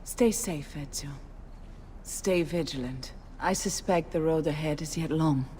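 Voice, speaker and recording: An actress playing a composed courtesan mentor who gives measured advice. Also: female voice, low-pitched, a little hiss or hum. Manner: calm, measured, advising. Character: composed female courtesan mentor, worldly and calm.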